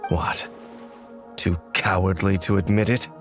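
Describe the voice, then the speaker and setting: A low, cold voice of a centuries-old knight in a dramatic video game. He is formal and measured, stern, guarded and bitterly accusing. Faint music sits underneath. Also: steady tone.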